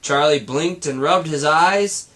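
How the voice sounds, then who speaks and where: A man reads a children's story in a bright, forceful voice. He takes his time over the rhythmic verse and delivers it with drama and playful asides, like a teacher reading to a class. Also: a little high, slow. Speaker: teacher reading aloud to students. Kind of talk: expressive picture-book read-aloud.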